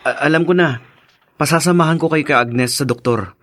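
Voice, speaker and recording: An actor playing a concerned, dutiful married son in a Filipino radio drama, respectful and troubled. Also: male voice, a little high, a little fast, a bit bright, no room sound, clean studio sound, natural voice.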